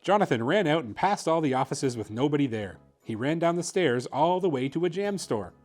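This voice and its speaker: A man with a fairly high voice reads a bedtime story for kids. His delivery is expressive storytelling, with music playing faintly behind him. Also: brisk. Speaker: host reading children's picture books.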